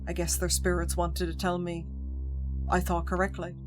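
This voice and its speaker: A woman streamer reading a visual novel's text aloud in an even voice, breaking off for playful asides to her viewers. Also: fairly low pitch, moderate pace. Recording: music playing clearly behind the voice.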